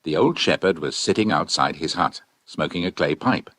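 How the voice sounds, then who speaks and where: Guy narrating an audiobook, husky lowish voice, very expressive, dry close sound. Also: normal speed, dry recording.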